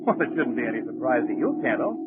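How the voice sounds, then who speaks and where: An actor playing a gruff, plain-spoken bush pilot in a 1940s radio drama, talking colloquially with hesitant pauses. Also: male voice, mid-range voice, sing-song, close to an everyday voice. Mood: confiding. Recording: very noisy, tinny 1940s radio recording.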